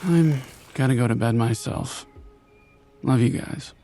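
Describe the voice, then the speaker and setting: An actor playing a gentle, soft-spoken older grandfather in an animated comic drama, talking without hurry. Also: mid-range voice, measured pace, faint music behind, natural voice. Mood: subdued, weary. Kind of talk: animated motion-comic voice acting.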